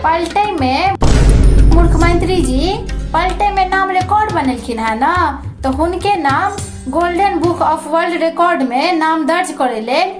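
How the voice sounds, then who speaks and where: A woman's voice: very high-pitched, measured pace. A woman voicing an insistent, matter-of-fact cartoon records official with a Maithili accent. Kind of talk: cartoon comedy dialogue. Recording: echoey, with music behind.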